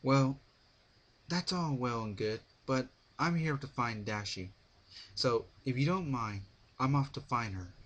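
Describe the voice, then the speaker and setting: A man's voice: medium pitch, unhurried, fairly bright tone. A man voicing the stubborn, impatient human hero of a fan fiction story, blunt and argumentative. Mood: angry.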